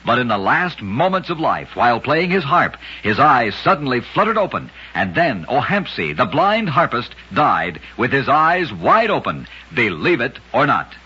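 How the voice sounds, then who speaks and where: A man tells strange tales in the style of a vintage radio host, with a very raspy, forceful voice. His delivery is emphatic and dramatic, swinging widely in pitch. The recording is dull and old. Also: sing-song, moderate pace, hardly any pauses. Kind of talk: scripted vintage radio storytelling of odd facts.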